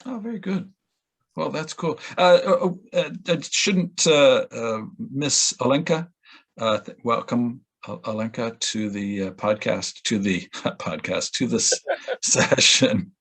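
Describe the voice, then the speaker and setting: A man's voice: medium pitch, a bit bright. A man hosting a casual discussion on a video call, hesitant and often stammering through restarts as he passes questions around. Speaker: session host.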